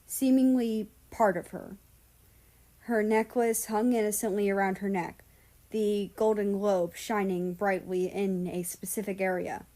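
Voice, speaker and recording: Story narrator: woman, mid-range pitch, unhurried scripted reading. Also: warm tone, poor audio. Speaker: fan fiction reader, narrator.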